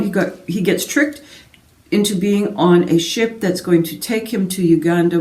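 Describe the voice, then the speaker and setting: A woman with a soft-edged, dark voice talks casually in the style of a book vlogger. She wanders through asides and interrupts herself as she goes. The sound is roomy and echoing, with her set back from the microphone. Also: on the low side. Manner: rambling, self-interrupting asides. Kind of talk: casual book chat to camera. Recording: roomy echo, distant from mic.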